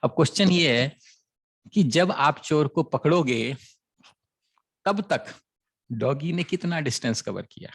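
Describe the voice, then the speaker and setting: A man's voice: a little high, animated, takes it slowly, pauses often, slightly rough. A man teaching maths, explaining a word problem as a story and checking often that students follow, with a sore throat. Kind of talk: classroom lecture.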